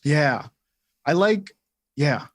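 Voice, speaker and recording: A man's voice: fairly high pitch, lively intonation, extremely slow, no echo. A man co-hosting a livestream tutorial in casual chat, informal and self-deprecating, often saying "like" as he goes. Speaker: livestream co-host.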